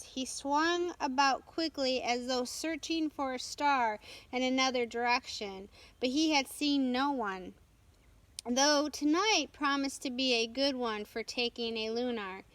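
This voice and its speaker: A woman reading a storybook aloud as narrator, carefully and haltingly, with stumbles and self-corrections. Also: high voice, a bit bright, dry recording, low-quality recording. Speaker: storytime reader.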